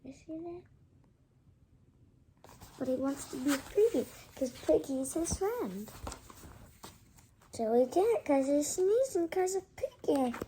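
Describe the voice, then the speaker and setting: Child, high soft-toned voice, slow halting read-aloud, casual asides. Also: animated, a little hiss or hum. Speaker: storybook reader. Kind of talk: reading a picture book aloud with casual asides.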